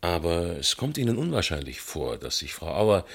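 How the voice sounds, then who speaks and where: A man playing a methodical police inspector in a radio crime drama, questioning witnesses and reasoning aloud deliberately in a husky voice. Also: sits low, big pitch swings, full character voice.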